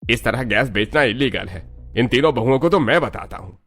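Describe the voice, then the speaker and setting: A man's voice: medium pitch, lively intonation, moderate pace, a bit bright. A man voicing an indignant, scheming gas dealer in scripted cartoon dialogue, with a blustering manner. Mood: threatening.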